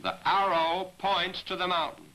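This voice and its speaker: A man narrating a scripted military training film as a briefing, in a measured, directive manner, on a 1940s film soundtrack. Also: high voice, fairly even intonation, sharp tone, low-quality recording. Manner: measured, directive, addressing aircrews. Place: voice-over recording for a 1943 film.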